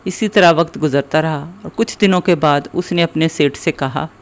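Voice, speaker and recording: A male storyteller reads a children's moral tale from a script. His voice sits a little high for a man. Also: moderate pace. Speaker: story narrator.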